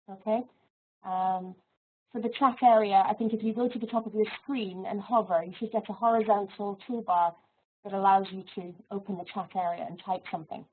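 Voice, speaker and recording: A woman lecturer giving an online teaching webinar in a friendly, measured way, with soft laughs and fillers. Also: medium pitch, breathy edge. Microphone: video call microphone. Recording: tinny phone-like audio.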